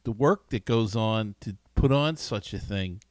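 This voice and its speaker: A man hosting a casual solo sports talk show in a rambling, halting, enthusiastic manner. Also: lowish voice, darkish tone, no echo. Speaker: podcast host.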